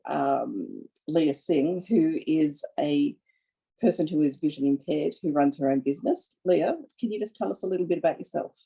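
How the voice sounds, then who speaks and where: A woman facilitating an online panel webinar, speaking in a measured way with some hesitations. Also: low-pitched, vocal fry, mellow, husky. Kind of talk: panel moderation. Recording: dull lo-fi audio.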